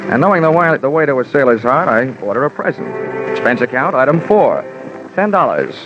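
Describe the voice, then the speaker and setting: A male actor as a sardonic American private investigator narrating a vintage radio drama. He is dry, hard-boiled and colloquial, with a medium-pitched voice on a muffled recording with music behind. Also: very animated, fairly quick, lightly acted. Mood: wry, brisk. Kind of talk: 1940s radio detective narration.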